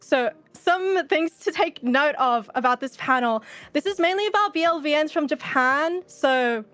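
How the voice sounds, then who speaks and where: A woman's voice: high-pitched, expressive, unhurried, a bit bright, faint music behind. A woman with an Australian accent giving a casual, informal fan talk as a panel presenter, swearing now and then. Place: indoors, virtual convention presentation.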